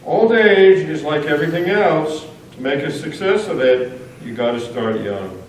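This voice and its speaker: A man reads theatrically on stage with a high, swooping voice. The sound is heavily echoed and picked up from a distance. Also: lively intonation, unhurried. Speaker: readers theater performer. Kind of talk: staged dramatic reading. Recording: very echoey hall, distant mic, faint noise.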